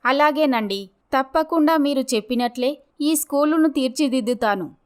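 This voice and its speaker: A woman's voice: sits high, moderate pace, close to an everyday voice. A woman playing a dignified, earnest young mother abandoned by her husband, polite in manner, in a dramatised story. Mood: grateful, resolved.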